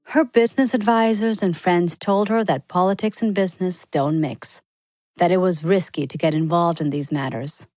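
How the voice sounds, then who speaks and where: A woman's voice: fairly low pitch, normal speed, a little mellow, no echo. A woman reading a scripted biographical story as a podcast narrator for young listeners.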